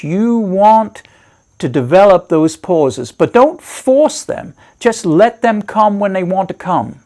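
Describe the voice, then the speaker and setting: A man leading a guided meditation as a teacher, giving measured, reassuring instructions with deliberate pauses. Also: high voice, a little mellow.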